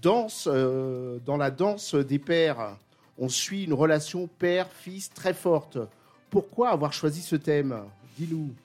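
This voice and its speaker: A man's voice: fairly high pitch, slow pace, a little mellow, soft background music. A man hosting an interview, reading his introduction and then asking questions with fillers. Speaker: host.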